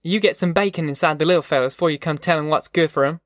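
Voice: mid-range voice, quick pace, dry recording, studio quality, close to an everyday voice. A narrator voicing a tough, determined middle-aged farm mother in an audiobook, with blunt, folksy rustic speech. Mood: defiant, scolding. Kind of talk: audiobook character voice.

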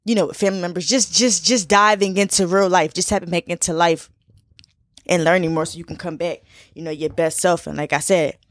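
A woman's voice: fairly low pitch, fairly even intonation, a little fast, no echo, studio quality. A woman in her twenties from Philadelphia, hosting a casual solo podcast in a chatty, laid-back way with lots of fillers and repeats. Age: 20s. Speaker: podcast host.

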